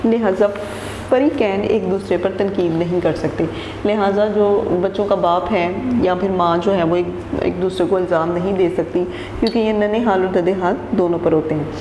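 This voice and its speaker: A woman's voice: medium pitch, warm tone. A woman teacher reading a textbook passage aloud and explaining each sentence again in simpler words. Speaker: Urdu teacher. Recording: echoey room with long reverb and faint noise.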